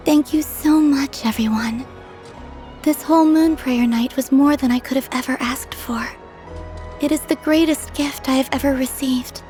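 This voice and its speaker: Soft-voiced, wistful young moon maiden played by a woman. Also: high-pitched, steady tone, moderate pace, faint music behind, close to an everyday voice. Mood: touched, grateful. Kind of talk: video game cutscene voice acting.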